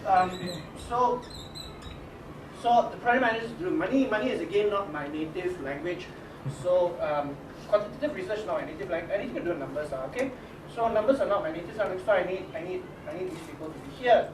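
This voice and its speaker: A man with a Singaporean English accent leading a workshop, introducing his team in halting speech, full of restarts and checks of "okay?". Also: high-pitched, lively intonation. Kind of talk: casual spoken presentation to teachers. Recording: echoey room from a distance with noise.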